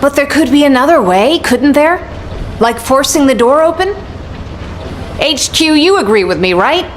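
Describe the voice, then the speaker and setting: A woman's voice: sits high, animated, a little hiss or hum. An actress playing a protective, worried mother figure in a game cutscene, speaking in a hesitant, careful way. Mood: reluctant. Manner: protective, hesitant, slow-spoken. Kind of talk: video game cutscene acting.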